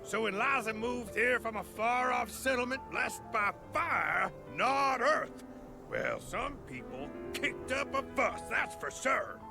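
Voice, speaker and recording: A man's voice: very high voice, animated, slow, vocal fry, heavily acted. A man voicing a folksy, easygoing villager storyteller in a video game cutscene, with a drawl. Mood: folksy explaining. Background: background music.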